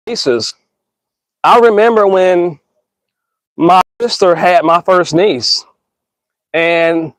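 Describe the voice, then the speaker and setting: A man in his thirties with a notably high voice, talking to his listeners in an earnest, rambling way. He slips into slang, restarts his sentences and calls everyone bro and y'all. The sound is close and dry, with no room around it. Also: dry recording, rough recording. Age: 30s. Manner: rambling with frequent restarts and stammers. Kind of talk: casual reflective monologue.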